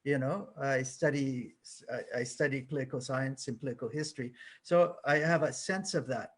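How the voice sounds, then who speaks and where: A man's voice: medium pitch, moderate pace, slightly rough. A man in his sixties, a translator, answering panel questions in a modest, thoughtful way. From Canada.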